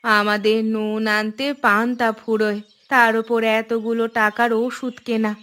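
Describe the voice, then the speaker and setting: A woman voicing a frail, worried elderly mother-in-law in a Bengali cartoon, with a plaintive, drawn-out delivery. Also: on the high side, little pitch movement, a bit bright. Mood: weary, worried.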